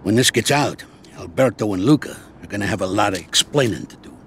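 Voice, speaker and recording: An actor playing an older mob boss, blunt and dry-toned. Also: male voice, lowish voice, expressive, brisk, fairly bright tone, slight background noise. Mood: calm. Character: older male mob boss, calm and commanding.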